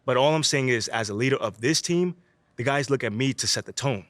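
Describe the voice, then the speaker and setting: An actor plays a self-assured young male basketball star in a game cutscene. His voice is mid-pitched and laid-back, with casual slang, recorded close and dry. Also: a little fast, fairly bright tone, no echo, lightly acted. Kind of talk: casual game cutscene and press interview dialogue.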